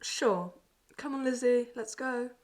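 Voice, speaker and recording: Woman as playful, higher-voiced young land girl. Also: slow, darkish tone. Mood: playful, teasing. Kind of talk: audio play acting.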